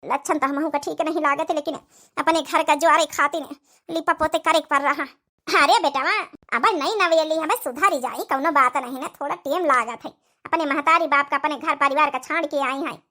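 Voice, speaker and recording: Woman voicing a high-pitched, patient old Awadhi village mother-in-law. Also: moderate pace, sharp tone. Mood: soothing, coaxing. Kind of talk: Awadhi comedy cartoon voice acting.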